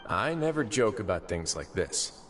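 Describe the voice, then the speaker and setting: An actor playing a composed, wry duke in an anime video game, speaking in a measured, courteous and sly way. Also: male voice, lowish voice, big pitch swings. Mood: amused. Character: composed, wry male duke running an underworld fortress. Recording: game audio with clear music behind.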